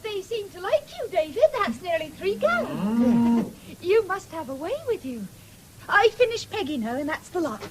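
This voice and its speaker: Teasing farm girl, very high swoopy voice, vintage radio play. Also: big pitch swings, normal speed. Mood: playful. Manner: coaxing, playful. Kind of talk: radio drama acting. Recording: faintly hissy 1970s radio recording with clicks.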